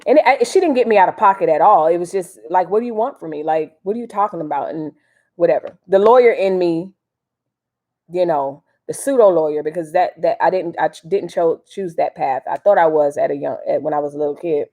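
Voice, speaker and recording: An American woman with a fairly low voice hosts a casual livestream. She rambles in loose, folksy slang, drifting between commentary and replies to her viewers. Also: brisk, warm tone. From Miami, United States. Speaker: livestream host. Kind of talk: casual live chat with commentary.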